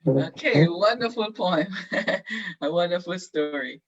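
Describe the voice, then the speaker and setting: Guy teacher on a zoom call, lots of ums, nudging kids to talk, flat dry muffled audio. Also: high voice, breathy edge, dry recording. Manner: halting and encouraging, many ums, slow prompting questions. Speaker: teacher leading a class discussion. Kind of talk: online classroom discussion. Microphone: video call.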